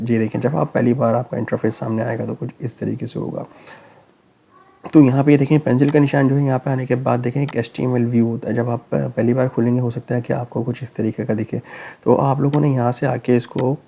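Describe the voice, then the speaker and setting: Hoarse guy doing a screen tutorial, gravelly flat voice, dark tone, easygoing step by step. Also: mid-range voice, slight background noise. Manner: relaxed step-by-step explaining with frequent check-ins. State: hoarse, sore throat. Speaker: tech tutorial host. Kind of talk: screen-recorded tutorial narration.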